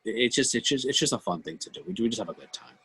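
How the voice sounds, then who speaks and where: Husky New England man, animated delivery. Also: mid-range voice, racing. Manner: rambling, repeats phrases, swears casually. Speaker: podcast co-host. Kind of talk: casual livestream banter.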